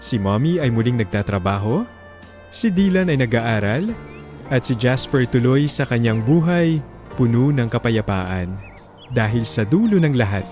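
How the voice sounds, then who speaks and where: Male Filipino radio narrator, medium pitch, sentimental scripted storytelling over a music bed. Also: darkish tone. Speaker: radio drama host and narrator.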